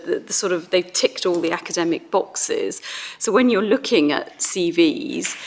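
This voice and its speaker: A woman in her forties, from Wales, answering as a legal panelist in an earnest, emphatic way with measured points. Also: fairly low pitch. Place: large formal hall.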